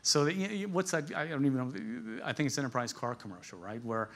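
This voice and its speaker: A man's voice: medium pitch, a little fast, fairly bright tone. Conversational man presenting at a business conference. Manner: conversational, jokey storytelling, chuckles at his own asides.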